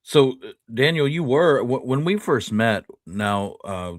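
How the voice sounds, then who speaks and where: A man hosting a podcast, chatting casually and pausing often mid-sentence. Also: medium pitch, expressive, no room sound, studio quality. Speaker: host.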